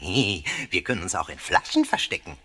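A man's voice: low voice, wildly swooping pitch, fairly quick, a bit bright, breathy edge, exaggerated voice. An actor playing a playful, boastful little ghost in a children's radio play, exclaiming and repeating his words in a whimsical way. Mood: cheeky.

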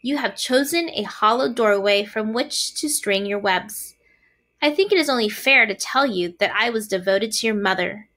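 A woman's voice: mid-range voice, normal speed, sharp tone, natural voice. A woman voicing a young male pig in a storybook, earnest, polite and sentimental, anxious to have friends. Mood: devoted. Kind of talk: storybook character voice.

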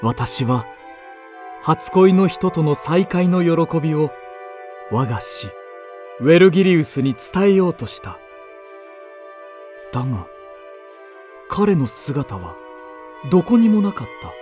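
An actor playing an earnest poet-pilgrim who recounts his journey deliberately as first-person radio drama narration. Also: on the high side, big pitch swings, a little mellow, faint music behind. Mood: earnest narrating.

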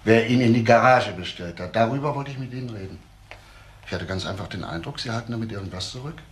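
An actor playing a calm, persistent police detective in a crime radio play, polite and probing. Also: male voice, fairly low pitch, fast, slight background noise, lightly acted. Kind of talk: German crime drama dialogue.

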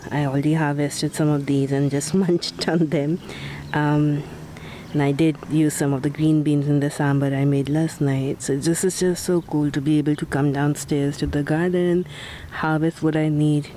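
Low, slow-talking woman touring her garden. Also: a little hiss or hum. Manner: relaxed, unhurried, with fillers and soft asides. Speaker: home gardener giving a garden tour. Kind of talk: casual garden tour narration. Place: outdoor backyard vegetable garden.

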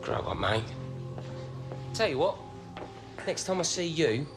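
A man's voice: fairly high pitch, sing-song, measured pace, music in the background. A man playing a cocky, needling rival footballer in a video game cutscene. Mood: taunting.

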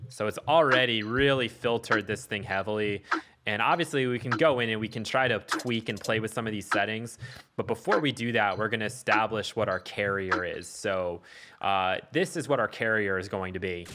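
A man's voice: fairly low pitch, moderate pace, background noise. A man hosting a casual music production tutorial, thinking aloud informally as he demonstrates. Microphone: voice-over on a screen recording. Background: electronic music and loops.

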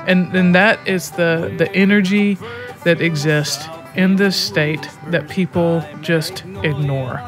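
A man's voice: a little high, fairly even intonation, slow, music in the background. A man talking casually and candidly, in unscripted conversation.

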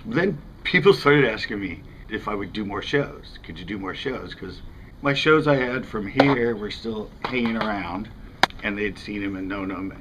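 An American man in his fifties with a lowish voice talks to camera in a loose, conversational way. He is recorded outdoors, with noticeable ambient noise behind him. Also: lively intonation, moderate pace. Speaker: fishing channel host. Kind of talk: casual talk to camera. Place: outdoors in front of a house window.

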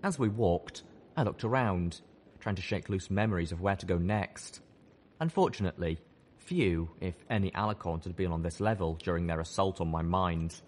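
A man's voice: deep voice, normal speed. A man giving dramatic scripted narration of a story as an audiobook narrator.

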